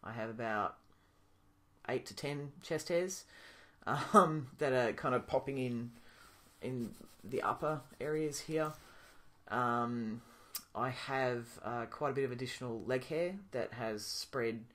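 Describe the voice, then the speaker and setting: A young man talking casually to his camera in a video diary, pausing often with ums and restarting his sentences. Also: medium pitch. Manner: relaxed, thinking aloud with many ums and restarts. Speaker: vlogger.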